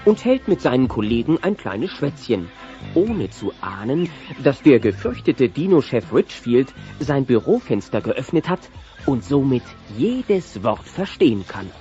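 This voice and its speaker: A male narrator tells a scripted audio drama in a soft, mellow voice. His intonation swings expressively. The sound is slightly dull, with faint music behind him. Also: mid-range voice, sing-song, a little slow. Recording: muffled cassette transfer.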